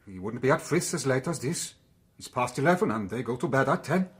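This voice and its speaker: An actor playing a reserved, cutting gentleman in a radio drama, speaking in a formal, clipped manner. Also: mid-range voice, expressive, brisk, lightly acted. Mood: tense, cutting.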